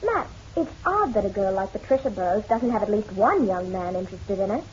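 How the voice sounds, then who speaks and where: A woman playing a teasing, sharp-witted detective's secretary in a radio drama, playful, arch and eager. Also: medium pitch, lively intonation, brisk, lightly acted. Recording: dull, noisy radio recording.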